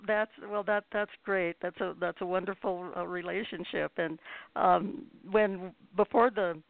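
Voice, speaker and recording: A woman hosting a casual interview show, putting questions in a hesitant, halting way. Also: fairly low pitch, fairly even intonation, vocal fry, no echo. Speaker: radio show host. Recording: dry, phone-like narrow-band audio.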